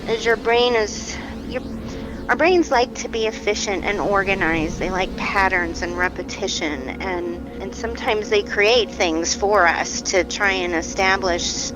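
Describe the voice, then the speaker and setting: An actress playing a supportive brain-injury therapist, gently explaining and encouraging in a naturalistic audio drama. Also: female voice, medium pitch, fairly even intonation, unhurried, music in the background, natural voice, poor audio. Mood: explanatory, encouraging.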